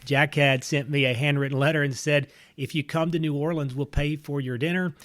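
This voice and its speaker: A middle-aged man from North Mississippi, an earnest missionary recounting his path in a relaxed interview, with fillers and restarts. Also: on the high side, fairly quick. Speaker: missionary and church planter. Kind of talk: casual interview storytelling.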